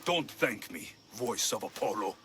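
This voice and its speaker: An actor playing a stern, world-weary male mercenary of ancient Greece in a video game, measured and serious. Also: on the low side, big pitch swings. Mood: accusing. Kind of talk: dramatic video game cutscene acting. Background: music.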